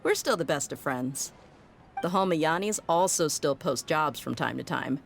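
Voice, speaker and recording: A woman's voice: sits low, lively intonation, normal speed, creaky, soft background music. A woman voicing a confident, easygoing desert mercenary in a video game, loyal and protective, with a breezy, colloquial manner. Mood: relaxed, amused.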